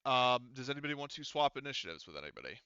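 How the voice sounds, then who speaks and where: Guy game mastering, kinda low bright clear voice, hesitant explaining, dry muffled mic. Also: sing-song, racing, no room sound. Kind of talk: casual running of an online tabletop roleplaying game.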